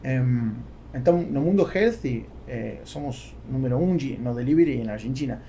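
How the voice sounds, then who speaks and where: Gravelly man with a Spanish accent. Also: mid-range voice, lively intonation, warm tone. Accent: Spanish-accented Portuguese. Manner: halting, with repeated words. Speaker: podcast guest, businessman. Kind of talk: casual interview talk. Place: small foam-padded podcast studio. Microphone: close studio microphone on boom arm. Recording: faint background noise.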